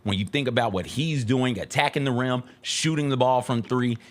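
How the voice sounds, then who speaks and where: A man's voice: medium pitch, moderate pace, slight background noise. An American man co-hosting a casual sports talk show in a studio, speaking emphatically and repeating phrases for emphasis. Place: radio-style studio.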